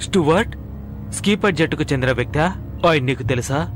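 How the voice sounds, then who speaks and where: A man's voice: a little high, expressive, fast, close to an everyday voice. A man voicing an alert, inquisitive character in a dubbed cartoon, with an urgent, questioning delivery. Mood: curious. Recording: loud music behind the voice.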